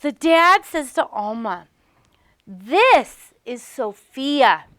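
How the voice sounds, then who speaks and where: Female primary teacher for a read-aloud lesson. Very high, lively, bright voice, slow and emphatic, questioning young pupils. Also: no echo, studio quality. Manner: animated, simple questions with emphatic repetition for small children.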